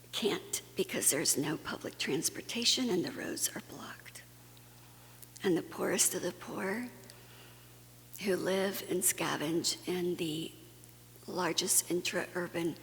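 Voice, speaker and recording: A woman from Guatemala giving an earnest, conversational conference talk, with fillers and restarts. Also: fairly low pitch. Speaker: conference speaker. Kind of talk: plenary talk to a faith audience. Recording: long hall reverb, faint hum.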